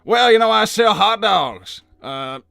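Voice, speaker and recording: A man acts out an elderly hot dog vendor hedgehog from a cartoon, hesitant and folksy. The voice is bright and creaky, with a close, dry sound. Music plays behind his meandering talk. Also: high voice, expressive, no room sound, full character voice. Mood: rambling, easygoing. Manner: rambling, halting, hesitant old-timer talk. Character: folksy older hedgehog uncle who sells hot dogs. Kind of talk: improvised in-character livestream roleplay.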